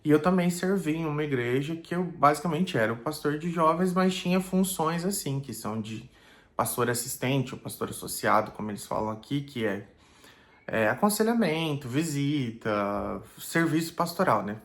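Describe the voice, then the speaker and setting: Reflective pastor talking casually. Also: male voice, on the high side, lively intonation, moderate pace. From Brazil. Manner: thoughtful, many fillers like "né" and "é".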